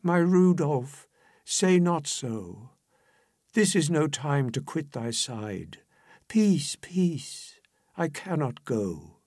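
A devoted noblewoman acted out by a man pleads in anguish, in declamatory verse. The voice is husky and slow, full of impassioned resolve. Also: fairly high pitch, very animated, a little mellow, no echo, studio quality, pitched above the performer's own voice, heavily acted. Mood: pleading, defiant. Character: devoted, anguished noblewoman pleading to stay with her dying husband. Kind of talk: dramatic verse recitation.

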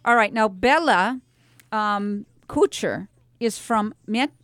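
A middle-aged woman hosts a relaxed, chatty interview with young performers. She is effusive and encouraging, speaking at an ordinary pitch. The sound is close and dry, like a studio. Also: slow, no room sound. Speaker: radio host and voice teacher interviewing young performers. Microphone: studio microphone with headsets.

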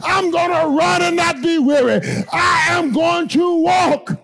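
A man preaching as pastor, building into a shouting, half-sung whooping cadence and then dropping to a quieter storytelling tone. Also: measured pace, creaky, heavy background noise. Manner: drops to low conversational storytelling. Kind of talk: sermon.

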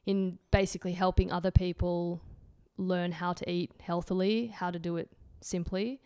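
A woman's voice: on the low side, flat delivery, moderate pace, dark tone, clean tone, no echo, clean studio sound. A woman in her late twenties, a podcast guest who runs a healthy eating website, answering in a thoughtful way and restarting phrases as she goes. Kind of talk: casual podcast interview.

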